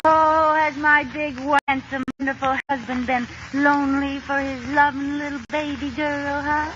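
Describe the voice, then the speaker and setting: An actress playing a scheming, wheedling American housewife in a theatrical 1950s radio comedy style. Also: female voice, sits high, level tone, unhurried. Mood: wheedling, sweet. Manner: exaggerated, theatrical. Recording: muffled, noisy old radio recording.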